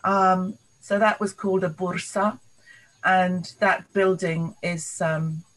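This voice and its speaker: Slow, flat-voiced older woman. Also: lowish voice, low-quality recording. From London, England. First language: Polish. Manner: thoughtful, halting reminiscing. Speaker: interviewee. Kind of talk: oral history recollection.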